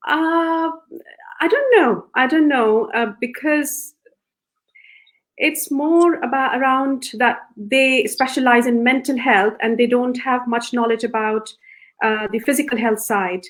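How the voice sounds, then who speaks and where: A middle-aged woman from Pakistan, a psychiatrist guest, talking softly and gently with frequent hesitations. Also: fairly high pitch, takes it slowly, low-quality recording. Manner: soft, gentle voice with many hesitations. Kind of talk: interview answers.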